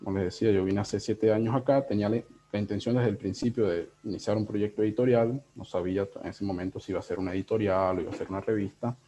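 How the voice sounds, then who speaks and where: A man in his thirties with a Venezuelan accent, talking casually and reflectively as an interviewed editor, in a halting, digressive way. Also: on the low side, fairly even intonation, mellow. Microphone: video call. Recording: dull, lo-fi video call audio.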